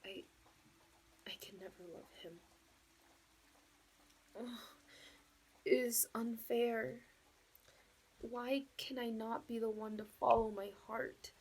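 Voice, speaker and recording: A woman's voice: a little high, steady tone, takes it slowly, long pauses, a little mellow, rough recording. A woman playing an anxious, lovelorn young princess bride in a romantic audio roleplay, speaking formally and hesitantly. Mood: lovelorn, distressed. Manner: formal regal phrasing, hesitant, breathy pleading.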